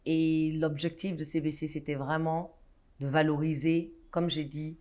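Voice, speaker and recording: Animated woman guest from Guinea. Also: deep voice, a little slow. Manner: animated, with many restarts and hesitations. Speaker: interview guest, entrepreneur. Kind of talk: casual interview talk. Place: studio set.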